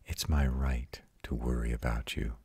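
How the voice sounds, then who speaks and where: A man voicing a tender, protective male vampire in an intimate, hushed audio roleplay, soothing and husky. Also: steady tone, moderate pace, no echo, full character voice. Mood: whispered comfort. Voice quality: very deep, husky, often breathy whispering. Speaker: voice actor.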